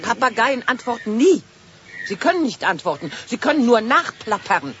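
A woman's voice: a little high, very animated, moderate pace, fairly bright tone. A woman playing a bossy, sensible sister who lectures and scolds indignantly in a children's radio play. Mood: scolding. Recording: slightly dull, faintly noisy old radio recording.

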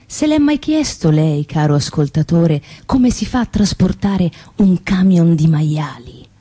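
Radio satire: female actor as emphatic Tuscan provincial woman with grievances, insistent delivery, highly animated intonation. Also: unhurried, husky. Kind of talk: satirical character monologue for radio theatre.

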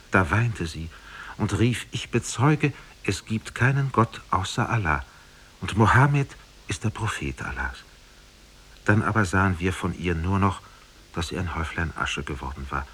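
A man's voice: low-pitched, a bit bright, slightly rough, full character voice. An actor playing a grieving man who has stopped laughing, telling his tale in solemn, mournful 1950s radio drama style, on hissy old tape. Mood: fervent. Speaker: storytelling character in a radio play. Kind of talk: 1950s German radio drama, literary fairy-tale narration.

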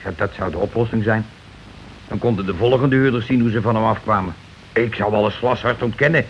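An actor playing a bossy, calculating working-class husband in a Dutch radio play, blunt and argumentative in colloquial speech. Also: male voice, fairly low pitch, fairly even intonation, a little fast, lightly acted. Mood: grumbling. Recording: dull, noisy old radio recording.

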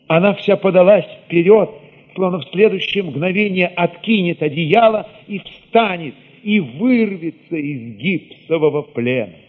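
A man narrating an audio drama in the first person, expressive and solemn, with weighty pauses. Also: fairly high pitch, in a large hall, narrow, tinny audio.

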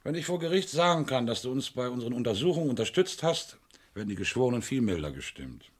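An actor playing a shrewd, sardonic police inspector in a crime radio play, wry and teasing with suspects. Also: male voice, medium pitch, very animated, fast talker, slightly rough. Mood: mocking, commanding.

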